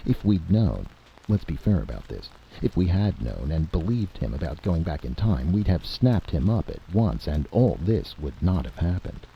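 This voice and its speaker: A man voicing a reasonable, frank commission chairman speaking in measured terms in a story reading, on a dull lo-fi recording. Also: sits low, gravelly, heavily acted. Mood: reasoned, regretful. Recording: dull lo-fi audio with steady hiss.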